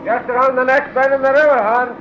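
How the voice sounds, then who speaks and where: A man's voice: very high voice, fairly even intonation, fairly quick, a bit bright, dull audio. An actor playing a villain's gleeful henchman, calling out orders and gloating, in an old radio adventure serial. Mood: callous, eager. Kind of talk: 1940s radio adventure acting. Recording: noisy old-time radio recording.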